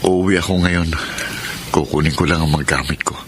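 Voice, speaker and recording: An actor playing a proud, hurt young husband in a Filipino radio drama, speaking in an earnest, dramatic way. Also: male voice, very deep voice, steady tone, normal speed, very noisy. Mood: subdued, resolved. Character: wounded, proud young husband, bitter yet forgiving. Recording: prominent music under the voice.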